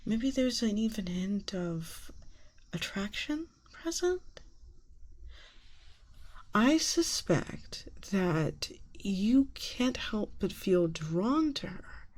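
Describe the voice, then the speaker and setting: A woman playing a soothing, subtly manipulative therapist in an ASMR roleplay, coaxing in breathy half-whispers. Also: medium pitch, big pitch swings, drawn-out words, darkish tone, full character voice. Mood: probing, knowing. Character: soothing, subtly manipulative female therapist guiding hypnotic relaxation.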